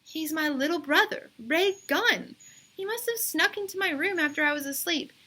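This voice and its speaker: A woman's voice: extremely high pitch, lively intonation, moderate pace, pushed and bright, voice pushed up, full character voice. A woman voicing an eager, indignant little schoolgirl in a storybook, exclaiming and complaining plaintively. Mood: aggrieved complaining.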